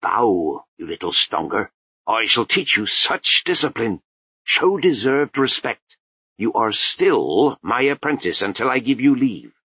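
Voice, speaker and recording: An actor playing a stern old male sweeper-monk, gruff, clipped and commanding. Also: medium pitch, big pitch swings, unhurried, pushed and bright, no echo, muffled recording, heavily acted. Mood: stern hissing.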